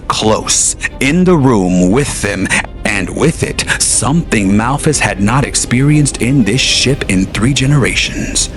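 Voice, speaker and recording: A man narrating an audio drama in a measured, dramatic way that drops at times to hushed near-whispers. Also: lowish voice. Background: faint music.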